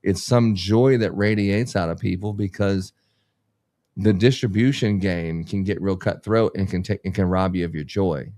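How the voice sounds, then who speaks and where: Blunt, low-voiced business owner. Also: male voice, fairly even intonation, normal speed, a little mellow, dry recording. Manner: blunt, profane, rambling, motivational. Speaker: business owner and podcast host. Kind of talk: casual podcast chat.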